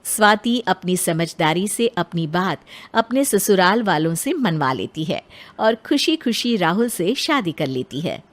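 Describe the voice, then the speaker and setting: A woman's voice: lowish voice, big pitch swings, unhurried. Woman narrating a scripted children's storybook tale.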